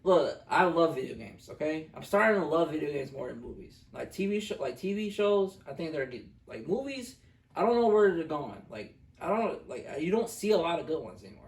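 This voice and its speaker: A man's voice: on the high side, big pitch swings, fairly quick, creaky. A man co-hosting a casual film review, giving blunt, slangy opinions in short emphatic remarks. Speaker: co-host of a film review show. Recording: roomy echo, distant mic.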